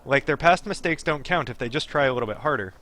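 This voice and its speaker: A man's voice: mid-range voice, animated, quick pace, bright, forceful tone. A man streaming a game, reading story text aloud and breaking off into chatty, digressive commentary. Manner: chatty, digressive asides while reading narration. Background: game music.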